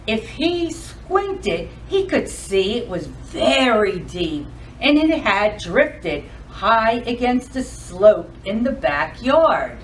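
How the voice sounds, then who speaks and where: A woman hosting a children's storytime, reading aloud and addressing young kids in an expressive, sing-song, deliberate way. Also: fairly high pitch, far from the mic, a little hiss or hum, poor audio. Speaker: library storytime host.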